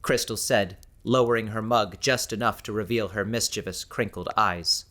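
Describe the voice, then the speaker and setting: A man narrating scripted fiction as an audiobook narrator, in a measured, descriptive storytelling manner. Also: on the low side, fairly bright tone.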